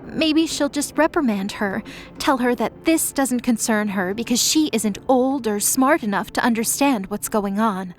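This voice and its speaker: A woman's voice: fairly high pitch, unhurried, slight background noise. A woman narrating scripted dramatic audio fiction, reading as a storyteller.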